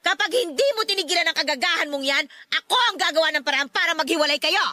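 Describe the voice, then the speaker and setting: A shrill, scolding radio-drama mother. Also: quick pace, bright, forceful tone, no room sound, studio quality. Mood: angry, scornful. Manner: nagging, harsh, sharply emphatic. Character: angry, scolding mother who scorns her daughter's boyfriend. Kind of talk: Filipino radio drama acting.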